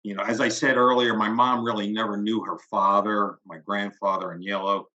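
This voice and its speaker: Low bright-toned man, reflective. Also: flat delivery. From Philadelphia, USA. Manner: measured, trails off quietly at phrase ends. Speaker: guest. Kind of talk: reflective interview talk. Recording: slightly dull lo-fi audio.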